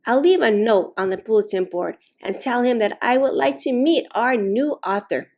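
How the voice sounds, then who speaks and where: A woman voicing a kindly, enthusiastic head librarian in a storybook, polite, encouraging and deliberate. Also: a little high, a little mellow. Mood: curious, determined. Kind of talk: storybook read-aloud.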